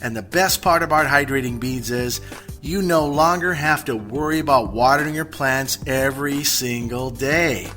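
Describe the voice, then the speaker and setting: A man delivering a scripted radio commercial as an announcer, in a chummy, upbeat sales-pitch manner. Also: normal speed, pushed and bright, soft background music. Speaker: advertisement announcer.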